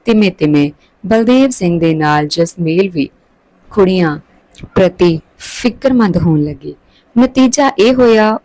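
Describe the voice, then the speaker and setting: A woman's voice: fairly low pitch, darkish tone, no room sound, rough recording. A woman reading a scripted story aloud as a storyteller.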